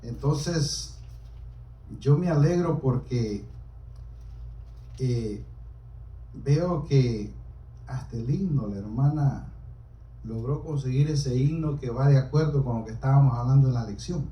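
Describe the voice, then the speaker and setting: A man preaching to a small congregation in a halting, deliberate way, explaining scripture as he goes. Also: mid-range voice, muffled recording. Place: small church during a service. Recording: roomy, echoing hall, distant microphone.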